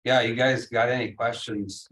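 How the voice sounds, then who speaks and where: A man's voice: medium pitch, steady tone, normal speed, fairly bright tone, recorded from a distance, low-quality recording. A man on a school board, talking in a casual, blunt and encouraging way. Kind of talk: casual remarks at a board meeting.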